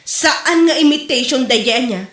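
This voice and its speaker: A woman plays a gossipy, indignant female co-worker in an Ilocano comedy drama. Her high voice swings up and down with exclamations, in an Ilocano Filipino accent, over a roomy recording. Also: expressive, a little slow, fairly bright tone. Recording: roomy, echoey room sound.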